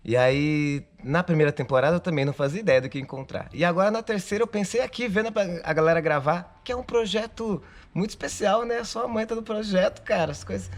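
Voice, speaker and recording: A man's voice: high voice, quick pace, few pauses. A Brazilian actor, a man, speaking casually in an interview.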